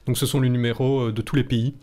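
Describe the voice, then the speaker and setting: A man's voice: mid-range voice, level tone, fast talker, warm tone. A man in his thirties with a Belgian French accent, teaching statistics live in a chatty, reassuring, casually blunt and jokey way, close to the mic in a small room. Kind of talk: informal live tutorial, explaining step by step. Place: small home room, indoors.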